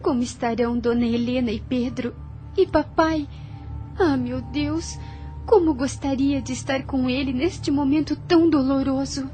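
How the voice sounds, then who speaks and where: A woman's voice: sits high, a little slow, dark tone. A woman playing a tender, frightened young girl in an audio drama, full of worry. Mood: sad longing. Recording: music behind the voice.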